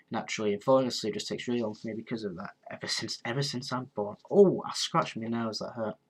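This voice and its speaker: A congested young man talks loosely on his live stream. He sniffles through a blocked nose, pitched mid-range for a man. He rambles and trips over restarts, filling the gaps with ums. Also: low-quality recording. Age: 20s. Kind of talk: casual live stream chat answering comments.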